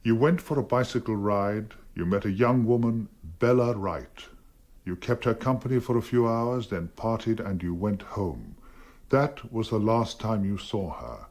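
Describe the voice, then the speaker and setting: An actor with an English accent playing a formidable, witty gentleman barrister in a radio drama, commanding and theatrical. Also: mid-range voice, lively intonation, measured pace. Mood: probing, grave. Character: formidable, witty gentleman barrister, a doting but teasing father.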